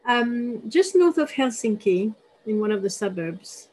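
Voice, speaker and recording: Husky-voiced woman interviewer. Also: on the high side, lively intonation, unhurried, darkish tone, low-quality recording. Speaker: interviewer, host. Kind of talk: conversational interview.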